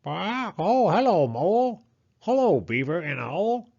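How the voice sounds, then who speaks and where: A man's voice: high-pitched, very animated, slow, creaky, a little mellow, muffled recording, pitched above the performer's own voice, exaggerated voice. A man voicing a fussy, chattering female hen who collects treasures. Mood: chatty.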